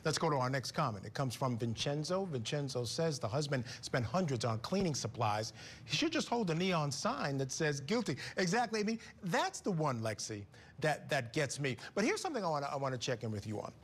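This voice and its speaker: Lively middle-aged male TV host. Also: on the high side, fairly quick, slightly rough. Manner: animated, conversational. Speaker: TV news host. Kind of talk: hosting a viewer comment segment. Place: TV studio. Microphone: lapel mic.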